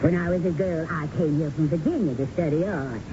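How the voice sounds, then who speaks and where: A restless, chatty older Southern wife in a radio play, acted by a woman, with a fairly low voice. She rambles fussily and stretches her words. The old broadcast recording is muffled and noisy. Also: natural voice. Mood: chatty, curious. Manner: rambling, complaining, drawn-out words. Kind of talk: 1970s radio drama acting.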